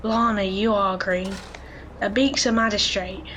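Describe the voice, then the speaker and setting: A woman's voice: mid-range voice, steady tone, a little slow, a bit bright, slight background noise, close to an everyday voice, rough recording. Cheeky London street urchin boy, acted by a woman. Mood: cheeky, knowing. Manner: cocky, friendly, slangy. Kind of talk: audio drama acting.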